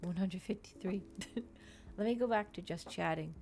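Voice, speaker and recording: A woman's voice: medium pitch, moderate pace, background noise. A woman streamer doing an ASMR stream, reading a book and chatting casually to listeners. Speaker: VTuber streamer, reader.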